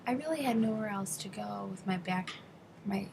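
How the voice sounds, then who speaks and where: A woman working as an interpreter, voicing an interview answer in the first person, phrase by phrase with halting delivery. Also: medium pitch, creaky, background noise. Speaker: sign language interpreter voicing a deaf interviewee.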